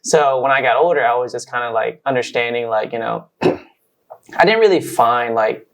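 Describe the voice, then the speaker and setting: A young man from Vietnam with a mid-pitched voice, answering interview questions. He talks in a loose, rambling way and fills his story with casual asides. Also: moderate pace, creaky, poor audio. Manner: relaxed, rambling, many fillers like "like" and "you know". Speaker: guest, marketing director.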